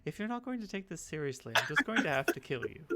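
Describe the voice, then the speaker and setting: A man's voice: a little high, big pitch swings, quick pace, sharp tone. A man in casual chat as game master, teasing his players dryly over an online voice call. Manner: dry, teasing.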